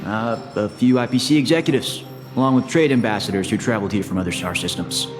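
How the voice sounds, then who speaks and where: An actor playing a polite, formal corporate assistant and host in a video game, speaking with measured courtesy. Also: male voice, on the low side, close to an everyday voice. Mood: courteous, composed. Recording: long hall reverb, music underneath.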